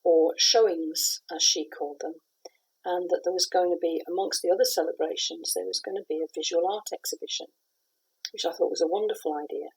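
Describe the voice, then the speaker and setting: A middle-aged woman from the west of Scotland talks through her work in a husky, fairly low voice. She answers in a thoughtful, measured way, with pauses and restarts. She sounds like a playwright giving an interview. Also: fairly even intonation, a little mellow, low-quality recording.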